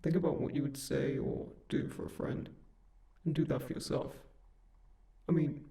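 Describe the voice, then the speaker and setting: Male actor as a comforting bedside demon, creaky low-key voice, flat intonation, soothing, unhurried, intimate audio roleplay. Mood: earnest, reassuring. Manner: gentle, soothing, reassuring, unhurried. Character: kind, comforting male sleep paralysis demon. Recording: roomy, echoing, slightly distant voice.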